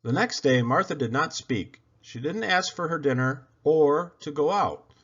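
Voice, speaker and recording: A man narrating a children's storybook aloud in an expressive read-aloud style. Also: on the high side, a little slow, husky, dull audio. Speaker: storybook reader.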